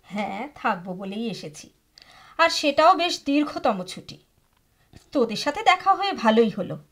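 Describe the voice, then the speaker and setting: A woman's voice: on the high side, sing-song, moderate pace, fairly bright tone, exaggerated voice. An actress in a Bengali audio drama playing a wistful, unhappy wife of a rich businessman, emotional and confiding. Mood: guarded. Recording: studio drama with sitar music behind.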